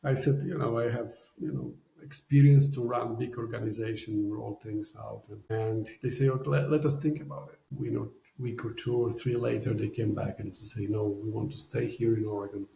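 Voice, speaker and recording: Man, Polish accent, gravelly mellow voice, rambling fillers, echoey room. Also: on the low side, fairly even intonation, fairly quick, hardly any pauses. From Poland. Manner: rambling, many "you know" fillers, non-native phrasing. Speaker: podcast guest. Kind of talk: casual storytelling.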